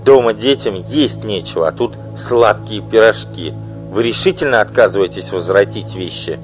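Male reader playing a high, loud, furious wife in a storybook, faint music behind. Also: moderate pace, natural voice. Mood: pleading. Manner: shrill, pleading and accusing. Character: indignant wronged wife, middle-aged woman. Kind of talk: audiobook reading.

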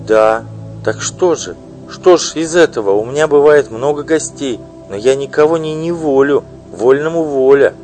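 A man's voice: medium pitch, normal speed, darkish tone, faint music behind, pitched above the performer's own voice, natural voice. A man voicing a timid, evasive young woman singer in an audiobook. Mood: defensive. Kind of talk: audiobook reading.

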